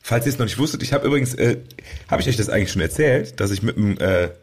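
A man's voice: on the low side, expressive, fast, husky. A man co-hosting a podcast, chatting casually with friends in a joking, playful manner. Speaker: podcast co-host.

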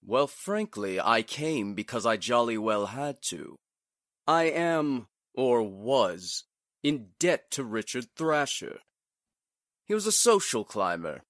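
A man playing a bitter, well-bred gentleman, haughty yet hesitant, in 1940s radio drama style. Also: mid-range voice, very animated, takes it slowly, no echo, clean studio sound. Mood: guarded.

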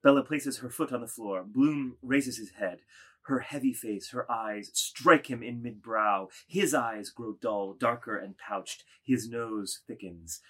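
A man narrating a literary drama, reading scripted stage directions in a deliberate, dramatic way. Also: mid-range voice, pushed and bright, husky.

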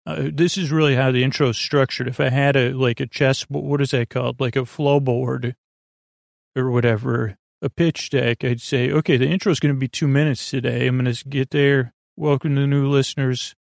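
A middle-aged man with a gravelly voice hosting a bedtime podcast, talking in a halting, meandering monologue that keeps interrupting itself. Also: mid-range voice, fairly even intonation, no echo, clean studio sound. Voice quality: gravelly, creaky. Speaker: podcast host.

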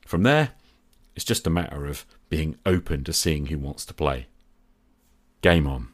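Deep-voiced man hosting a podcast. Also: lively intonation, a little slow. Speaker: podcast host. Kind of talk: scripted solo podcast narration.

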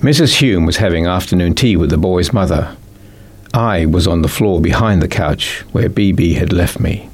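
A man's voice: low voice, normal speed, slightly rough. A man with a New Zealand accent reading a children's story as scripted narration. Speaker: author reading own book.

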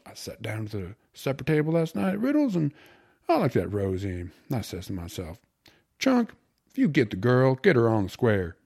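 A man's voice: medium pitch, huge pitch swings, normal speed, vocal fry, darkish tone, breathy edge, voice pushed up, heavily acted. A man voicing a cheerful, cocky young suitor who talks in eager, colloquial slang for an audiobook. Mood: gleeful, boastful. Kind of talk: audiobook character voice.

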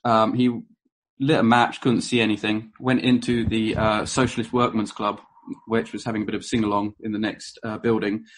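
A man's voice: fairly low pitch, level tone, normal speed, slight background noise, low-quality recording. A man giving an informal history talk to a room, full of ums and uhs, self-correcting and dropping wry asides. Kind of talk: informal spoken presentation to a live audience.

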